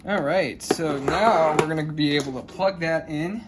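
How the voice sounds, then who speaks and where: A man's voice: on the high side, moderate pace. A man giving a casual, showy hobbyist unboxing narration, announcing each step like a presenter. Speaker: host of a radio unboxing video. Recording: noisy room recording with ambient hiss.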